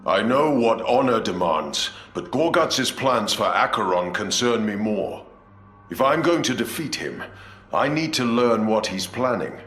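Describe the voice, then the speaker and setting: A man's voice: on the low side, sing-song, unhurried, a bit bright. An actor playing a dutiful, resolute male commander of space warriors in a video game, speaking solemnly and formally. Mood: grave. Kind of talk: video game cutscene acting. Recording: echoey, faint music behind.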